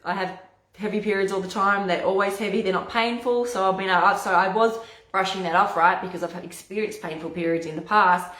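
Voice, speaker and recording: A woman naturopath with a hoarse, husky voice, chatting casually to camera and often stumbling over and restarting her words. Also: mid-range voice, fairly even intonation, a little fast, a bit bright. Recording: echoey room.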